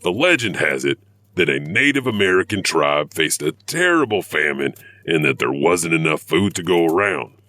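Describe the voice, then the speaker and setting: An ominous horror anthology narrator, played by a man, deep and very expressive. He tells urban legends theatrically, with wry, morbid asides and a forceful edge. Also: normal speed, no room sound, exaggerated voice. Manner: theatrical, sardonic, with knowing asides.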